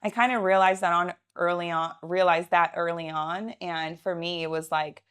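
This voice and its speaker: A woman's voice: on the low side, fairly even intonation, normal speed, a bit bright, clear, resonant tone. A woman in her thirties whose first language is Russian, chatting casually as an interview guest with many "like" fillers. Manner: chatty, frequent "like" fillers, laughs easily. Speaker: guest, realtor and entrepreneur.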